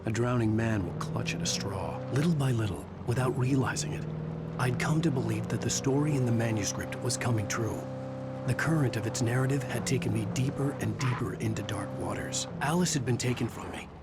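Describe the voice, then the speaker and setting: Actor as grim, brooding haunted writer narrating. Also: male voice, medium pitch, a little fast, loud music behind the voice, natural voice. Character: haunted, desperate male writer on the run, narrating his ordeal. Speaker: narrator protagonist of a video game. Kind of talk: scripted noir-style first-person narration.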